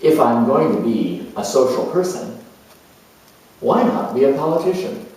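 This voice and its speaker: A man performs a charming, self-assured male politician musing aloud in a character monologue. His delivery is slow and halting, with emphatic swells. The recording is very echoey, with the voice set well back from the microphone. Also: medium pitch, sing-song, darkish tone. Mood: boastful, self-assured. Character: proud, charming, opinionated male career politician turned world judge. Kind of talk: dramatized first-person character monologue. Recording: roomy echo, distant microphone.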